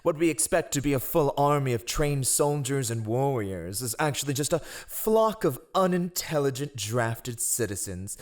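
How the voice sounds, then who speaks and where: A sly and ruthless royal spy master , played by a male voice actor, in a fantasy audio drama. His silky, formal speech swings widely in pitch, sardonic and mockingly courteous. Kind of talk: fantasy audio roleplay acting.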